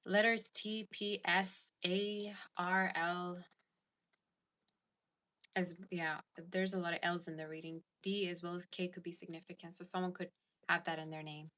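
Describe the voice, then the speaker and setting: Woman, tarot reader, casual chatty delivery, lowish pitch, bright tone. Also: flat delivery, unhurried. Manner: chatty, reads live chat aloud. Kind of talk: casual tarot reading to viewers.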